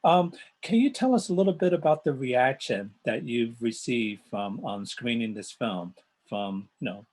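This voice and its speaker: A man's voice: mid-range voice, animated, moderate pace, darkish tone. A man moderating a festival Q&A, putting questions in hesitant, stop-and-start phrases. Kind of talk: interview questioning.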